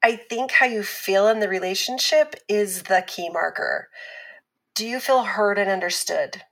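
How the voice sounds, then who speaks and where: Measured woman relationship expert. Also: medium pitch, fairly bright tone. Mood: measured, advisory. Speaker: expert guest on a talk show. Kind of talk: scripted interview in a fiction podcast.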